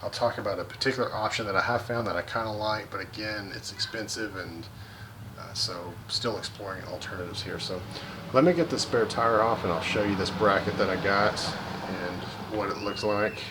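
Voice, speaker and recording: Man, deep low voice, relaxed how-to talk with fillers, noisy outdoor recording. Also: normal speed. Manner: relaxed, practical, many fillers and restarts. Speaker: vlogger. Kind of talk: casual how-to walkthrough.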